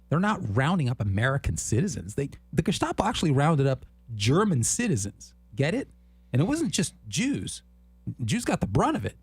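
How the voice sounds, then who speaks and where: A man co-hosting a political talk show, speaking in an animated, indignant way and laughing often. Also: fairly high pitch, slightly rough, no room sound. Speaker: co-host.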